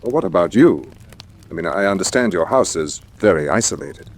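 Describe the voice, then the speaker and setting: An actor playing a forthright, hot-tempered gentleman landowner in a radio drama, emphatic and blustering. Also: low-pitched, lively intonation, fairly quick. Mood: courteous.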